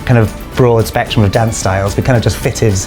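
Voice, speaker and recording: A man's voice: fairly low pitch, fairly even intonation, a little fast. A man from Wales, chatting in a casual, self-deprecating way in a documentary interview. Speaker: Irish dancer in a comedy circus show. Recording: music behind the voice. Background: music.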